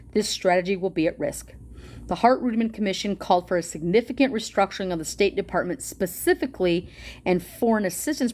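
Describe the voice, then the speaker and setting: A woman hosting a livestream, chatting excitedly with viewers and reading a policy document aloud, with a loud voice and a casual, swearing manner. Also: mid-range voice.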